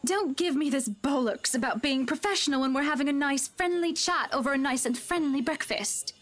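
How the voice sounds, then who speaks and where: An actress playing a wealthy, teasing, sharp-tongued young wife in a visual novel, chatty and pointed. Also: female voice, high voice, fairly quick. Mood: indignant.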